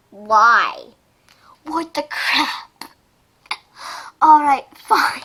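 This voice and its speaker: A woman with a high, very lively voice reads a story aloud in a casual way. She is giggly and exclamatory, often breaking off to throw in her own comments. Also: husky. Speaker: amateur reader reacting to fan fiction. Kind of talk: casual reaction read-aloud with asides.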